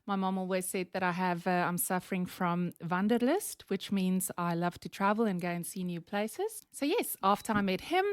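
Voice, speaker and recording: A woman's voice: lowish voice, lively intonation, normal speed, few pauses, smooth, no echo, clean studio sound. Afrikaans-accented woman telling her story. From South Africa. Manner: chatty, laughs often, many um fillers. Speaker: podcast guest. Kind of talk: conversational interview storytelling.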